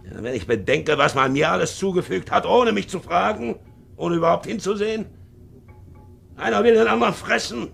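An actor playing a hard, brooding ageing ship's captain in a 1950s radio drama, shifting between muttering and barking. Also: male voice, high voice, expressive, brisk, gravelly, a bit bright, husky, heavily acted. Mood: bitter, self-justifying. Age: older. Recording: 1950s radio recording, faint music.

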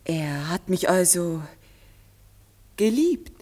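Lively, coquettish woman, swooping intonation. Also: lowish voice, takes it slowly, slightly rough, heavily acted. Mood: curious. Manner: coquettish, theatrical. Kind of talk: classic German radio play acting.

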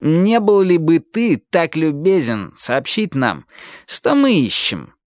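Woman voicing a sulky teenage boy, lively intonation. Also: deep voice, fairly quick, no room sound, clean studio sound. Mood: irritated. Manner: grumbling, sarcastic. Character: sulky, jealous, grumbling teenage boy, sarcastic. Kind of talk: audiobook character voice.

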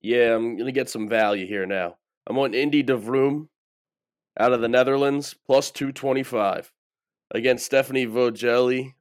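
A man on a sports betting panel lays out his picks in a steady, matter-of-fact way. He sits at a middle pitch. His recording sounds dry and close. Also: moderate pace, dry recording. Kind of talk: casual panel chat.

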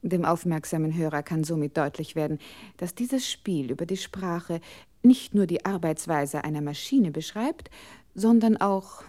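Woman acting as formal, mellow-voiced female computer control. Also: fairly low pitch, measured pace, natural voice. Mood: formal, explanatory. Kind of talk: scripted 1960s radio play narration.

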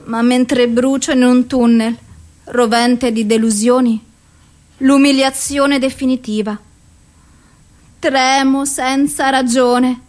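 An actress playing a despairing, suicidal and self-loathing woman in an anguished, deliberate theatrical radio monologue. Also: high-pitched, fairly even intonation, a little mellow. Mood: bitter despair. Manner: slow, deliberate, anguished delivery.

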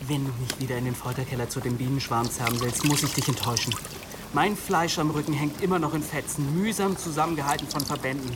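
A man's voice: medium pitch, fairly even intonation, fast talker, background noise, natural voice. An actor playing a battered, bitterly resolute young man in an audio drama, dry and mocking. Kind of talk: German audio drama acting.

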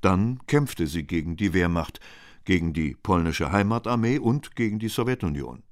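A man reading scripted documentary narration in a measured, even way. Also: low voice, no room sound, clean studio sound.

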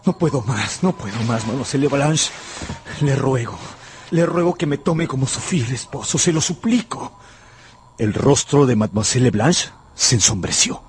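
A man's voice: mid-range voice, lively intonation, normal speed, a little hiss or hum, natural voice. An actor playing a passionate gambler, narrating his luck and obsessive love in a fervent, literary radio drama style. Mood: pleading.

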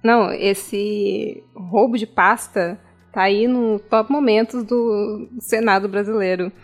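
A woman's voice: mid-range voice, fairly even intonation, slow, faint music behind. A Brazilian woman co-hosting a podcast, making casual, wry commentary in an informal conversational way. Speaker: podcast co-host.

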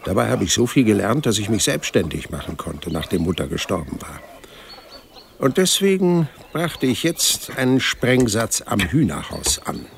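An actor playing a wry, stubborn hundred-year-old man who narrates his life in a dry, deadpan way in an audio drama. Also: on the low side, normal speed, vocal fry, a little hiss or hum. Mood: matter-of-fact. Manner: dry, deadpan, matter-of-fact storytelling.

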